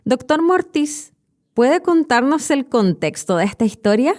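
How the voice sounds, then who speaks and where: A woman's voice: high voice, very animated, measured pace, darkish tone. A woman in her thirties with a Chilean accent, narrating scripted educational stories expressively as a podcast host. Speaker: podcast host and Spanish teacher.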